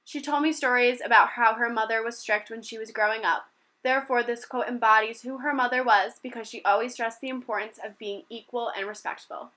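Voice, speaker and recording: A young woman from Rhode Island, a university student, reading a prepared school presentation aloud in a steady, careful way. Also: a little high, a little fast. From Rhode Island, USA. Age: young adult.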